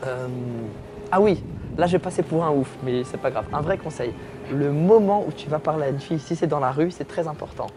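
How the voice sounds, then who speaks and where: A man's voice: fairly high pitch, a little fast, over music. A young man, a comedian guest, chatting jokingly in an interview, teasing and self-mocking while lying back.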